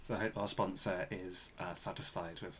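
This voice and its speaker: A man's voice: sits low, fairly even intonation, moderate pace, fairly bright tone, a little hiss or hum. A man co-hosting a podcast, responding briefly and casually in a laconic way. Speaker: podcast co-host.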